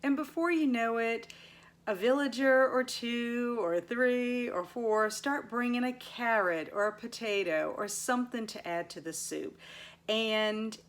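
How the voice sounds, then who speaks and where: Slow-paced woman reviewing books. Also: fairly high pitch. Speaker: book reviewer and readathon host; teacher. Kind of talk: casual book review vlog, recapping and summarizing stories.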